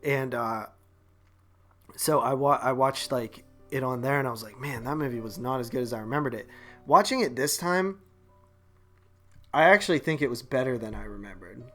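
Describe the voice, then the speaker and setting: A man talks movies as a podcast co-host in a mid-pitched voice, with faint music in the background. His delivery is loose and slangy, full of conversational filler words like "like" and "man". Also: normal speed, long pauses, fairly bright tone. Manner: slangy, informal, heavy use of "like" and "man". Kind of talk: casual movie chat.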